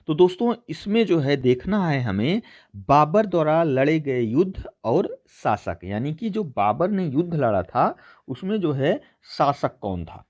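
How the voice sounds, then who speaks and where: Man, teacher, higher voice, very lively intonation, question-and-answer explaining, muffled lo-fi recording. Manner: explanatory, asks himself questions then answers them. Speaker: teacher presenting a history quiz. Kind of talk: exam-prep lesson, question and answer explanation.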